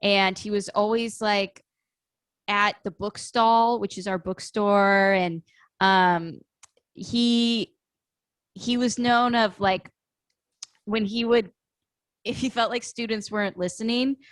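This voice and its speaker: American woman podcast guest, medium pitch, super dry audio, casual jokey storytelling. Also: steady tone, slow pace, pauses often, dry recording. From Illinois, United States. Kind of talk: casual anecdotal chat.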